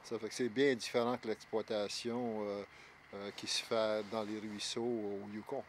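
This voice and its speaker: A man in his sixties with a Québécois accent, speaking in a measured, halting way with many fillers in a documentary interview. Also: lowish voice, little pitch movement, slight background noise. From Quebec, Outaouais. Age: 60s. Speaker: interviewee, longtime local resident.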